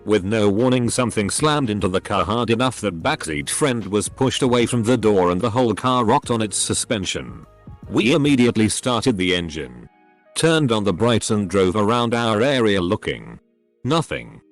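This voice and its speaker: Male narrator, lowish voice with lively intonation, scripted story reads over soft background music. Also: moderate pace. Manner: reads short stories back to back in an even storytelling delivery. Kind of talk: scripted narration of online stories.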